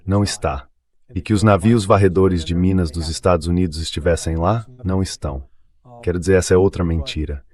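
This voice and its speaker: Male analyst, very low-pitched, synthetic voice-over delivering political commentary. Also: darkish tone. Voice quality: synthetic dubbing voice. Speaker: commentator guest.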